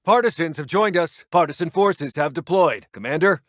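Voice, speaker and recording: An American military intelligence officer in a war strategy game. His voice sits a little high for a man and is recorded very dry. He reports in a clipped, dutiful, businesslike manner, like an official announcer addressing a commander. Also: lively intonation, normal speed, fairly bright tone, no room sound. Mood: brisk, dutiful.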